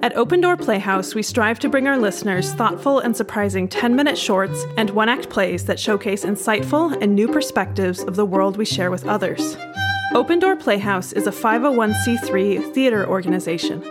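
A woman's voice: mid-range voice, animated, moderate pace. A woman hosting a theater podcast, reading a scripted announcement. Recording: loud violin music behind. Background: violin music.

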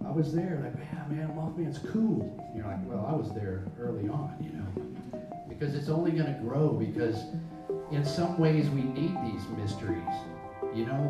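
Man musing eagerly in an echoey distance. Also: medium pitch. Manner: musing, enthusiastic, many "you know" fillers. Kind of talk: casual interview talk. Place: at a festival. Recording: echoey and distant, loud music.

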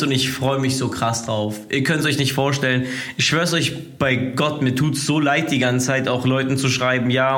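A man's voice: medium pitch, fairly bright tone. A young man hosting his own podcast, talking loosely in slang with many fillers and restarts, still coughing a little after a cold. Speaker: podcast host. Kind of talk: casual solo podcast monologue.

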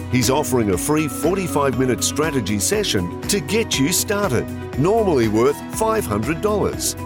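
A man reading a scripted promo in a polished sales delivery. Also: mid-range voice. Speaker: ad announcer. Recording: voice over a music bed.

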